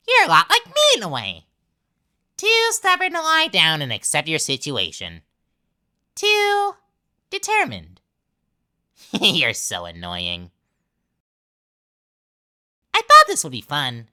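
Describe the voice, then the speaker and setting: A woman's voice: low voice, bright, forceful tone, smooth, full character voice. A woman voicing a manic, childish talking flower villain from a video game, theatrical and mocking, swinging between cutesy and menacing. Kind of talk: dramatic game villain monologue voice acting.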